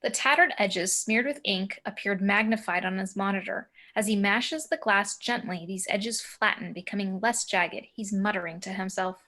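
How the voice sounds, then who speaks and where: A woman narrates a screenplay's action and scene headings. Her voice is very bright and forward, at an average pitch for a woman. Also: normal speed, few pauses. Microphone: home video call.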